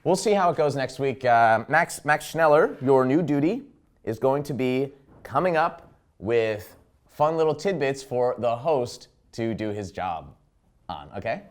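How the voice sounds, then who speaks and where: A man's voice: medium pitch, animated, unhurried. A young man playing an awkward, rambling talk show host in a comedy sketch.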